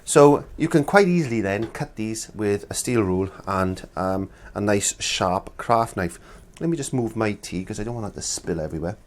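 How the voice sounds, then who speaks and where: A man's voice: fairly low pitch, expressive, moderate pace, a little hiss or hum. Middle-aged male art instructor, stuffy-nosed from a cold, rambling and friendly, lapel mic in a small studio. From Wales. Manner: chatty, rambling, many restarts and fillers. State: congested from a cold. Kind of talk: casual demonstration lesson.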